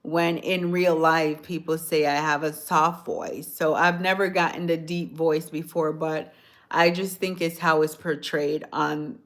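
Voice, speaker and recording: A woman from Jamaica chatting on a phone livestream, answering viewers' comments in a soft-spoken, sometimes hoarse voice. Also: sits low, fairly even intonation, a little slow. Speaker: livestream host. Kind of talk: casual livestream chat with viewers.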